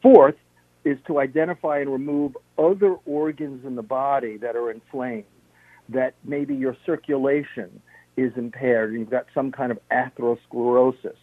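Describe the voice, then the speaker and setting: A man's voice: medium pitch, steady tone, normal speed, dry recording. A man on a radio interview, steadily explaining health tips and listing points one by one. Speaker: health practitioner guest. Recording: narrow phone-line sound.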